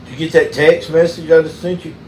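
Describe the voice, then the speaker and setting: A middle-aged man with a Southern US accent, chatting casually and telling stories in a folksy, halting way. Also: on the high side, fairly even intonation, darkish tone, roomy sound, slight background noise. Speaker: mechanic and channel host. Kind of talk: casual phone chat with storytelling.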